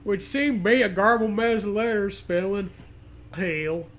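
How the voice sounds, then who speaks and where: High voiced guy, slow laid back joking chat, swears a bit, faint noise behind. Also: fairly bright tone. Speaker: co-host commenting.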